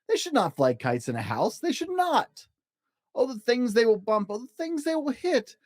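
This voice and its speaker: A man's voice: extremely high pitch, very animated, normal speed, pitched above the performer's own voice. A man voicing an anxious, bossy pet fish from a children's storybook, fretting in urgent exclamations. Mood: indignant, fretful.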